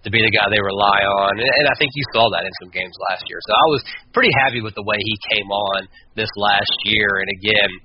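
A man hosting a sports podcast, talking through his analysis casually in a halting way, repeating words and restarting. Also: fairly low pitch. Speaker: podcast host. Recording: muffled, clearly noisy, radio-like audio.

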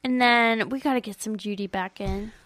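An American woman co-hosting a podcast, chatting casually about books in a playful, expressive way. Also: a little high, normal speed, a crowd in the background. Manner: playful and expressive, acts out sound effects. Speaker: podcast co-host. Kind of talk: casual book chat.

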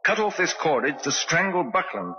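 High-pitched police inspector, muffled vintage radio. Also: male voice, sing-song. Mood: probing, assured. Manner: formal, measured, interrogating. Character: composed, probing male police inspector. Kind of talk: old-time radio drama acting. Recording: dull vintage radio recording.

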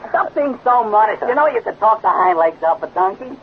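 An actor playing a cocky, jokey space adventurer's pal with folksy slangy banter in a 1950s children's radio serial. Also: male voice, sits high, lively intonation, a little fast. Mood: teasing. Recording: dull, noisy old radio recording.

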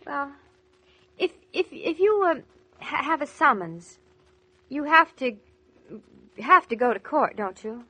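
Coy young newlywed wife, old 40s radio play, high bright voice, hesitant n stubborn. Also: female voice, big pitch swings, slow, pauses often, full character voice. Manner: hesitant, artless, wheedling. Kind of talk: 1940s radio drama acting.

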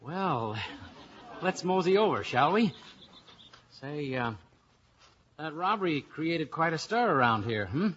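An actor playing a folksy, wry, easygoing American investigator who also narrates, in 1950s radio drama style. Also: male voice, a little high, very animated, slow pace, long pauses, fairly bright tone, a little hiss or hum, full character voice. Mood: breezy, casual. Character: breezy American investigator posing as a painter. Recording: muffled vintage radio broadcast.

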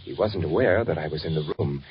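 An actor playing an anxious undercover spy posing as a German architect in a 1940s radio drama, brooding and halting. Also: male voice, rumbling low. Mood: troubled, brooding. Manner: brooding, halting narration with repeated questions. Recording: dull vintage 1940s radio recording, music behind.

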